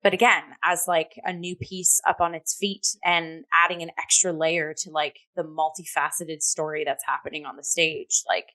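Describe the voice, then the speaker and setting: A woman co-hosting a review talk show, chatting casually and effusively, often pausing and leaning on "like" as she goes. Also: sits low, little pitch movement, bright, forceful tone, low-quality recording. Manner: effusive, halting, heavy use of "like".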